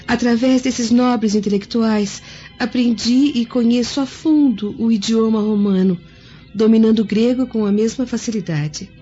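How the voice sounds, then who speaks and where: Woman acting a mellow, refined young noblewoman. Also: fairly high pitch, normal speed, lightly acted. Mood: proud, earnest. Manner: formal, articulate, earnest. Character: refined, bookish young Roman noblewoman, proud and earnest. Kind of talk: radio drama monologue. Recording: dull lo-fi audio with music behind.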